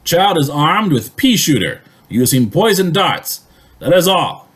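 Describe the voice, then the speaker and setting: Man acting a husky, officious police dispatcher. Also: on the high side, sing-song, unhurried, a bit bright, full character voice. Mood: urgent, official. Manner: clipped official announcing, repeating phrases. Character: urgent, officious male police radio dispatcher. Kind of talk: radio play acting.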